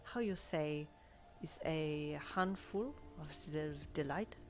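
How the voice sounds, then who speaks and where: Give the story a suave French valet , acted by a woman: low-pitched, courteous and flattering, with a playful French accent. Music plays behind the voice. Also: slow. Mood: playful, gallant. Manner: courteous, gallant, teasing.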